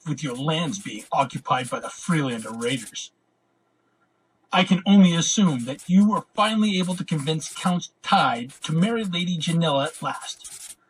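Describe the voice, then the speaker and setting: A man's voice: on the high side, slight background noise, close to an everyday voice, low-quality recording. A man playing an arrogant, wrathful prince in an audio drama, pompous and scornful in his theatrical delivery. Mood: imperious, sarcastic. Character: arrogant, wrathful, imperious prince dictating letters.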